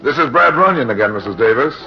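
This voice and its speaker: An actor playing a tough, matter-of-fact American private detective, with clipped old-time radio noir delivery. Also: male voice, medium pitch, animated, fast, highs cut off, over music, lightly acted. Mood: probing. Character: hard-boiled, unsentimental male private detective in pulp radio drama.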